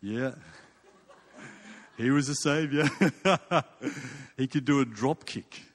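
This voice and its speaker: A man's voice: medium pitch, takes it slowly. An older man with an Australian accent giving a sermon in an informal, conversational storytelling way, with rhetorical questions and repetition. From Western Australia. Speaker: preacher. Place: large hall or church. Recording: reverberant hall sound.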